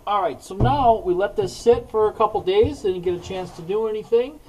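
A man's voice: high-pitched, fairly quick, a little hiss or hum, low-quality recording. A man giving casual, plain-spoken how-to commentary as he works on auto body repair. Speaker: DIY auto body repair video maker. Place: indoors in a garage, working inside a car.

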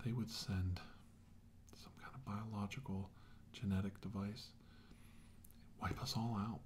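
A middle-aged man playing a weary, shaken doctor in a halting, brooding ASMR monologue that often drops toward a whisper. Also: on the low side, fairly even intonation, a little hiss or hum, natural voice. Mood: somber, brooding. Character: weary, shaken male doctor recounting a battle with aliens. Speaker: performer of ASMR roleplay. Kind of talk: slow ASMR roleplay monologue, soft and partly whispered.

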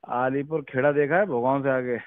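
A man's voice: mid-range voice, fairly even intonation, a little fast, clear, resonant tone, dry recording. A man talking casually on a phone call, deferential and full of questions. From Uttar Pradesh, India. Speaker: village head, caller. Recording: narrow, tinny recorded phone call.

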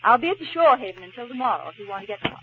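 An actress playing a cool, resigned estranged wife in an old radio play, speaking curtly. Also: female voice, fairly high pitch, lively intonation, rapid-fire. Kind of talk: 1940s radio acting. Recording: muffled old radio, noisy audience behind.

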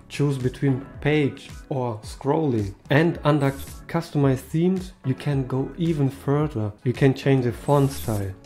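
A man in his thirties speaking English as a second language, with a mellow, warm tone and slightly non-native phrasing. He talks to camera from a small room, upbeat and casual. Faint music sits under his voice. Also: mid-range voice. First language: not English. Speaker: tech video host.

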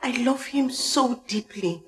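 An actress playing a determined young girlfriend in a screen drama, pleading her case emphatically and haltingly when upset. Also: high voice. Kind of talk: naturalistic screen drama dialogue.

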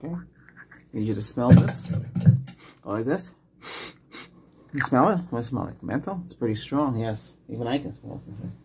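Middle-aged ASMR doctor, noisy recording. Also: male voice, medium pitch, lively intonation, normal speed, vocal fry, pauses often. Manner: short soft questions and instructions, reassuring. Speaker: ASMR creator playing an ER doctor. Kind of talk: ASMR medical exam roleplay. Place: small indoor room set up as a clinic.

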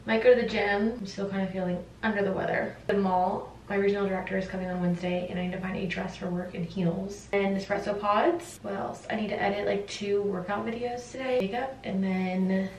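A woman's voice: mid-range voice, fairly even intonation, brisk, few pauses, darkish tone. A young woman chatting casually and informally in short thinking-aloud phrases, as a lifestyle vlogger filming her day at home. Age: young adult. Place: apartment kitchen and rooms, indoors. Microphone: camera mic a few feet away. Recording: roomy, distant, noisy camera audio.

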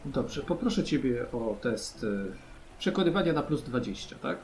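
A man narrating a tabletop role-playing game as game master, haltingly, often checking in with his players. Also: mid-range voice, big pitch swings, darkish tone, slight background noise. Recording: music under the voice. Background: background music.

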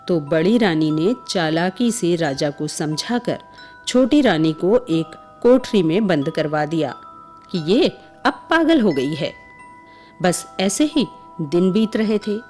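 A woman's voice: lowish voice, a little mellow. A woman narrating a scripted bedtime story for children as the storyteller. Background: music with chimes.

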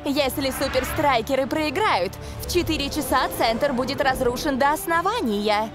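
Cartoon dub: woman as a dry, high female co-commentator, loud music behind. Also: normal speed, close to an everyday voice. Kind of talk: sports commentary.

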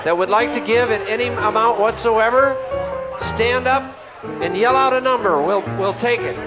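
Man, middle-aged, auctioneer, extremely high pitch, repetitive bid calling, strong music-like sound behind, handheld mic in a large hall. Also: normal speed. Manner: repetitive chanting of bids. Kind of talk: live benefit auction calling. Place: large banquet hall, on stage. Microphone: handheld microphone through a PA.

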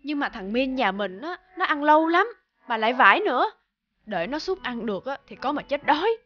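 High-voiced, fretful cartoon mother. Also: big pitch swings, a little fast, dull audio, slight background noise. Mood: fussing. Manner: fretful, exasperated. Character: harried, indulgent mother of a spoiled son. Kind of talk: animated cartoon dub. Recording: background music under the dialogue.

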